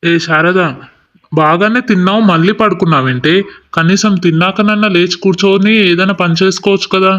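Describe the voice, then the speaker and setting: Man acting a fed-up young farmer husband, bit high voice, grumbly, muffled story audio. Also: brisk, a bit bright. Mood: exasperated. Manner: complaining, long-suffering. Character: exasperated young village farmer husband of a lazy wife. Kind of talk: acted Telugu moral story dialogue.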